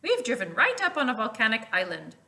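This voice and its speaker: A woman's voice: a little high, huge pitch swings, fairly quick, bright, forceful tone, exaggerated voice. A woman voicing an enthusiastic, unflappable woman schoolteacher from a children's storybook, with emphatic delivery. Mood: excited.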